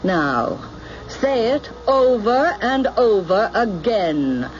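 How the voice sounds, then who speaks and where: Stern, slow-spoken reprogrammer. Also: female voice, a little high, sing-song, exaggerated voice. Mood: insistent, measured. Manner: stern, measured, commanding. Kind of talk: radio drama acting. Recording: muffled old radio broadcast, faint noise.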